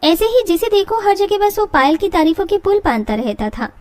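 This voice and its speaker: A woman's voice: very high-pitched, sing-song, a little fast, soft background music. A woman reading scripted narration for a moral family story.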